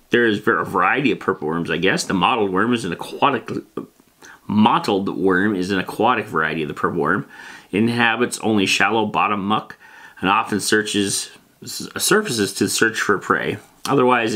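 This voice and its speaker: A man's voice: on the low side, fairly bright tone. A man hosting a casual, rambling explainer on tabletop game monsters, reading out rules and tossing in informal, emphatic asides.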